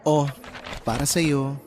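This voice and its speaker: Animated, chatty young Filipino guy friend. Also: on the high side. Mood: apologetic, friendly. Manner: animated, colloquial, exclaiming. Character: Wilbert, young man, chatty teasing matchmaking friend. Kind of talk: Filipino radio drama dialogue.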